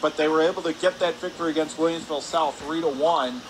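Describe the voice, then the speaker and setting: A man doing excitable live radio play-by-play of a hockey game, shouting on big plays. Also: a little high, quick pace. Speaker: play-by-play announcer. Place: indoor ice hockey arena. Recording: noisy arena broadcast with rink ambience. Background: loud ambient arena noise.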